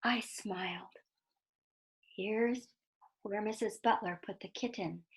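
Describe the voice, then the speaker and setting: A woman reading a children's story aloud in a deliberate, explanatory way, with asides to young listeners. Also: mid-range voice.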